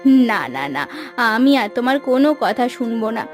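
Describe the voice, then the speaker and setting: A woman voicing a hardworking, hopeful village housewife in a cartoon, earnest and soft-spoken. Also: fairly high pitch, sing-song, a little slow, soft background music, pitched below the performer's own voice. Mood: determined.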